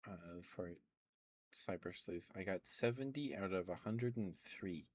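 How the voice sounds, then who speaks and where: Soft-spoken low man, rambling ASMR chat. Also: a little slow, no room sound. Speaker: streamer.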